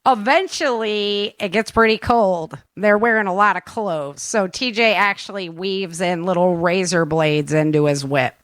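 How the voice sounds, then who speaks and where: A woman's voice: mid-range voice, unhurried, hardly any pauses, a bit bright, no room sound. A woman co-hosting a casual online chat, speaking informally and enthusiastically with plenty of slang. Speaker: co-host and audio drama producer. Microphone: online call.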